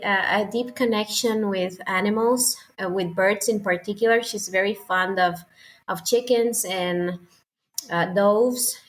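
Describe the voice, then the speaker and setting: A young woman from Puerto Rico, a native Spanish speaker with a thick Puerto Rican accent, chatting as an interview guest and pausing often to search for words. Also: medium pitch. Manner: hesitant, searching for words, frequent fillers. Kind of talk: casual interview conversation.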